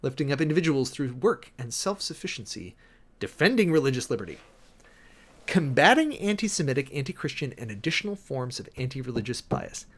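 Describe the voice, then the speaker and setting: A man's voice: medium pitch, big pitch swings, fairly quick. A man giving a dramatic, emphatic reading of an official document, breaking off often for sardonic asides and commentary as host. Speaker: host, preacher.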